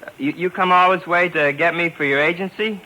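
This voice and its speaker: A simple-minded carnival knife thrower in an old radio play. His voice is fairly high and very bright, and he stumbles timidly over his words, eager to please. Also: fairly even intonation, poor audio. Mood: hopeful, sheepish. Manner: hesitant, stammering, slow-witted. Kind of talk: 1950s radio acting. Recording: vintage 1950s radio broadcast.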